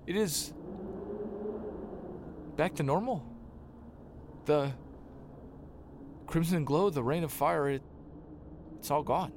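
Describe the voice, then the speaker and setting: An actor playing a flustered, pious small-town reverend in an audio drama, protesting in halting, formal speech. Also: male voice, a little high, background noise. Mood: dazed relief. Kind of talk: audio drama acting, period dialogue.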